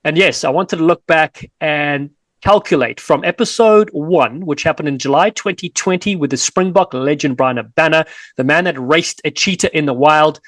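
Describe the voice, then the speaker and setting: A South African man co-hosting a rugby podcast, chatty and energetic with playful teasing. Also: a little high, moderate pace, dry recording. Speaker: podcast co-host and rugby commentator.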